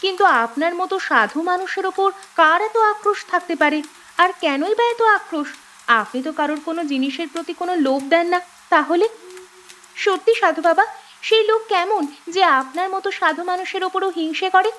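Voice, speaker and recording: A woman voicing high-pitched young village sisters. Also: brisk, fairly bright tone. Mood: earnest. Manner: urgent, caring and earnest. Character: young village girl sisters, caring and brisk. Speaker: voice actor. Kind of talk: cartoon voice acting.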